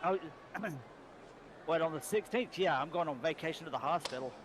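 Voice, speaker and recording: A tired man co-commentating sumo casually, with dry, deadpan humor. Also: on the high side, normal speed, a little hiss or hum.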